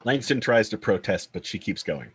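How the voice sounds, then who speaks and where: Deep husky male script narrator. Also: sing-song, normal speed. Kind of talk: reading screenplay stage directions.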